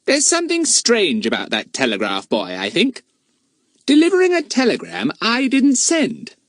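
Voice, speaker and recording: Narrator voicing a storybook boy leader, breezy, confident, raised pitch. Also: wildly swooping pitch, moderate pace, fairly bright tone, dry recording.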